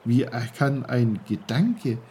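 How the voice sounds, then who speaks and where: A man's voice: medium pitch, sing-song, slow, mellow, a little hiss or hum, voice pushed down. A man voicing a curious, puzzled young boy, in a storybook reading.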